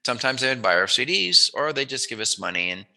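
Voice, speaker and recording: A man's voice: medium pitch, very animated, fast, creaky, sharp tone. A man hosting an interview in a casual, joking way, with a playful, rambling, musing manner. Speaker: interview host.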